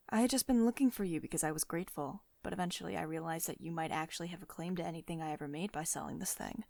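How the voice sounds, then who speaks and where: A woman performing an ASMR roleplay as a wealthy, possessive tech CEO, speaking in an intimate, deliberate way that often drops toward a whisper. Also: lowish voice, dry recording, close to an everyday voice.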